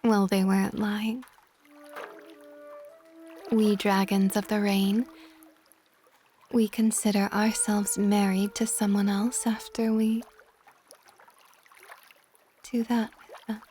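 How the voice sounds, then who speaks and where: A woman playing a possessive, tender dragon goddess in an intimate ASMR roleplay, speaking softly and breathily, often near a whisper. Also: mid-range voice, flat delivery, faint music behind, natural voice. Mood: teasing, seductive. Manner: soft, breathy, slow, often dropping to whispers.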